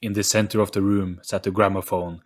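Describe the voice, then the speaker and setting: A man reading a scripted, dramatic story in an ominous, measured storytelling manner. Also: low-pitched, level tone. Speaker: audio story narrator.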